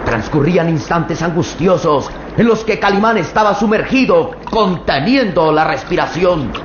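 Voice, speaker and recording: A man's voice: a little high, expressive, moderate pace. A man narrating a radio serial in a tense, suspense-building way. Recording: echoey, muffled old radio with loud hiss.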